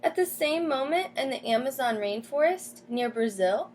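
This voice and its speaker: A woman teacher reading a picture book aloud to children, pausing to explain and ask questions. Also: sits high, expressive, a little mellow, slight background noise. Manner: explains and asks questions as if to young listeners. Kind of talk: storybook read-aloud with comments to children.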